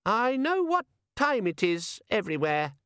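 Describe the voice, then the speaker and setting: High-pitched tiny demon,, chirpy, over-eager, gadget salesman patter, storybook fantasy. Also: big pitch swings, unhurried, pushed and bright, clear, resonant tone, dry recording, studio quality, heavily acted. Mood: nervously eager.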